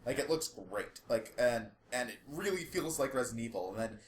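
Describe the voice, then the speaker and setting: Male podcast co-host, mid pitch, enthusiastic rambler, chatting casually in a roomy space. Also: expressive, fairly quick. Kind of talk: casual group chat. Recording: echoey room, faint background noise.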